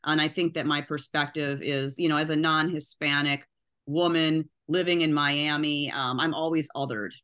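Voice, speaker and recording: A woman's voice: sits low, steady tone, moderate pace, sharp tone. A middle-aged poet from the US Midwest with a Midwestern accent, talking in a chatty way about her poems and often asking "right?". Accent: Midwestern American. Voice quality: nasal. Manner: chatty, tags lines with "right?". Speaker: poet, guest. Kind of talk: casual interview chat.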